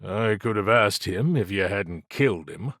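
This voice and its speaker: A stubborn, weary lawman in an audiobook thriller , put on by a male reader. He speaks low, in terse, pointed phrases that sound grudging. The sound is dry. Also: animated, measured pace, fairly bright tone, no room sound. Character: weary, stubborn, by-the-book male federal agent. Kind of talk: audiobook character dialogue.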